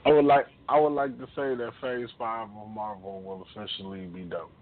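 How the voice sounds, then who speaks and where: Low-voiced man on a tinny line. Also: steady tone, moderate pace, a little hiss or hum. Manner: slangy, hype-man delivery. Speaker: radio show co-host. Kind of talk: casual urban radio banter. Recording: tinny phone-like sound, music underneath.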